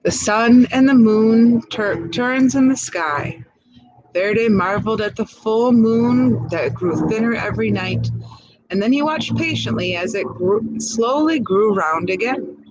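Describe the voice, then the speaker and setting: Woman reading aloud with lively, swinging pitch. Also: highs cut off, slight background noise. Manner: expressive, pausing to comment and ask questions. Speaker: storybook reader. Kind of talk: picture-book read-aloud with asides to young listeners.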